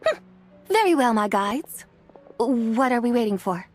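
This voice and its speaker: A woman's voice: a little high, big pitch swings, unhurried. A woman playing a regal, curious immortal visitor in a video game, speaking in a formal, archaic and stately manner. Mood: composed.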